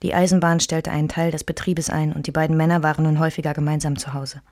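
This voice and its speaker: A woman's voice: low voice, little pitch movement, fast talker, darkish tone, no room sound, clean studio sound. An actress playing a wistful woman who intimately recalls a past love triangle in a literary radio play. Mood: wistful, tender.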